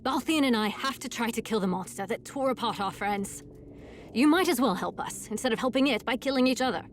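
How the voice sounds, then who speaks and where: A woman's voice: fairly high pitch, expressive, a little fast, a little hiss or hum, natural voice. A woman playing an earnest, direct and resolute young knight in an audio drama.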